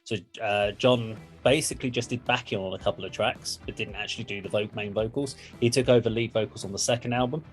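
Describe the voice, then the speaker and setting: A man's voice: fairly low pitch, steady tone, fast talker, few pauses. A man hosting a casual music discussion, explaining things in an informal, sweary way. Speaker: music reaction show host.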